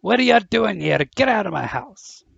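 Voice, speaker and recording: Man acting a gravelly, husky, nervous gamekeeper. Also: high-pitched, very animated, fairly bright tone, dry recording, dull audio, exaggerated voice. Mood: angry. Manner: halting, flustered. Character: big, gruff, nervous, soft-hearted gamekeeper man. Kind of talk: fan audio drama acting.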